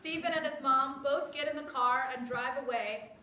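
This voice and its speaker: A woman's voice: sits high, normal speed, bright, forceful tone. A woman narrator giving a scripted reading of stage directions. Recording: echoey room, distant mic, background noise.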